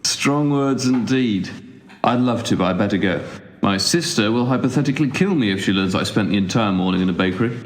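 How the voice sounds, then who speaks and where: A man's voice: lowish voice, lively intonation, a little fast, natural voice. An actor playing a cheeky, slightly awkward young British man with a hesitant, informal way of talking in an audio drama. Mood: amused. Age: young adult. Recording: echoey room, faint noise.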